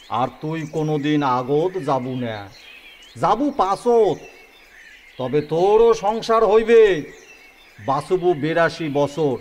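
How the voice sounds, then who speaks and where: Man playing slow, pompous village holy man. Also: a little high. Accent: North Bengal Rajbanshi. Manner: solemn, slow, pronouncing. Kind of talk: rural audio drama acting.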